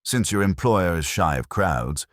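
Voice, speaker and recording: Man voicing a suave, self-important gentleman, low-voiced. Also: lively intonation, a little slow, dry recording, studio quality. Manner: light, polished, affected.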